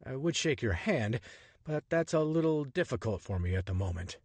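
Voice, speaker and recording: A man's voice: mid-range voice, sing-song, moderate pace, no room sound, pitched above the performer's own voice. A man voicing a bold, chatty young adventurer with a breezy, persuasive manner. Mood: charming.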